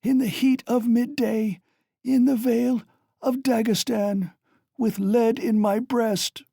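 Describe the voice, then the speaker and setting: Dying delirious mother, hoarse, shrill, dry close sound; reader doing her. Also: slow, mellow, no echo, exaggerated voice. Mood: shrieking. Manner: shrieking, frantic, wailing. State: hoarse, gasping.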